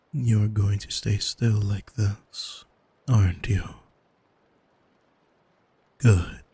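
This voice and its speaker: A man playing an arrogant, manipulative demon in an ASMR roleplay, coaxing in a smug, soothing, hypnotic manner with a breathy, husky voice. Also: fairly low pitch, a little mellow, heavily acted. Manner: smug, deliberate, soothing, commanding. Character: arrogant male demon, soul-owning manipulator, calm and seductive.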